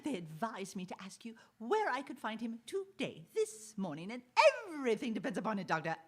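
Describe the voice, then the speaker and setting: Flustered lady for radio drama, played by a woman: high, husky, swooping voice, fretful and pleading. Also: very animated, a little fast. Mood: flustered, effusive. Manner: breathless repetition, fretful, effusive. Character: flustered, anxious, pleading society lady visitor. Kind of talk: theatrical radio drama acting.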